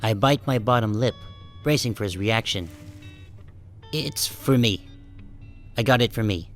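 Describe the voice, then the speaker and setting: Man playing a low-voiced, wry, wary sheep narrator. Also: unhurried, faint music behind, natural voice. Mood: wry, uneasy, then guarded. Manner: wry, guarded, tense. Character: wary, resentful male sheep narrating his story. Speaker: first-person narrator and protagonist. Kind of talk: scripted first-person audiobook narration.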